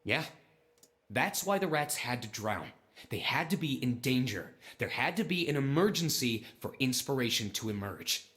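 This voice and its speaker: An actor playing an earnest man who muses and explains deliberately in visual novel game dialogue. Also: mid-range voice, big pitch swings, a bit bright. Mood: thoughtful, emphatic.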